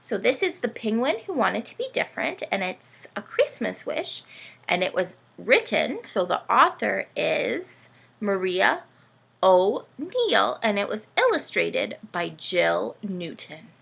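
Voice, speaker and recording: A woman's voice: medium pitch, takes it slowly, gravelly, slightly rough. A woman hosting a children's storytime, talking playfully to young listeners and asking them lots of questions. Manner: animated, addresses children with frequent questions. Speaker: children's read-aloud host with a puppet sidekick. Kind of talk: playful children's storytime presenting and read-aloud.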